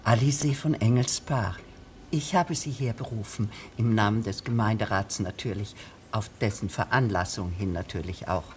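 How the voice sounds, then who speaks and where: An actress in a radio drama playing a deferential, officious village bureaucrat who speaks in wordy, winding formal sentences. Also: female voice, extremely low pitch, moderate pace, slight background noise. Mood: apologetic, formal. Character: fussy, long-winded female village official, deferential bureaucrat.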